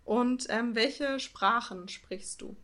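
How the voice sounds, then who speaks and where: A woman hosting an interview, friendly and laughing often, asking questions at an unhurried pace. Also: a little high, fairly bright tone. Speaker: interview host. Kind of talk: casual interview chat.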